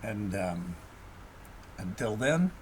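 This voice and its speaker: A man narrating a historical tale as an audiobook reader, in an expressive storytelling style. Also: fairly low pitch, slow pace, slight background noise.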